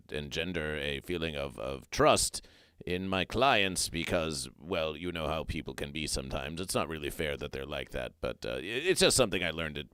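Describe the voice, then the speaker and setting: A man improvising a comedy sketch as a self-assured male fish doctor, talking in halting runs full of fillers and restarts. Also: a bass voice, a bit bright, smooth, dry recording, clean studio sound. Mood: earnest rambling. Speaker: comedy performer.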